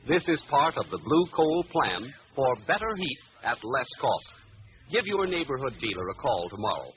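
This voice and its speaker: A man with an American accent reading as an announcer and pitchman in 1940s radio advertising style, on an old recording. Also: big pitch swings, normal speed, narrow, tinny audio, slight background noise.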